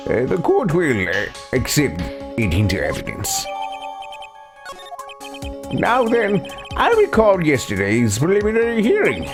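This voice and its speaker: A man's voice: on the high side, huge pitch swings, a little slow, pauses often, pitched above the performer's own voice, exaggerated voice. A man voicing a stern, fussy judge in a video game, formal but stumbling over words. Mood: grave, deliberate. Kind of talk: voiced video game playthrough. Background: video game music.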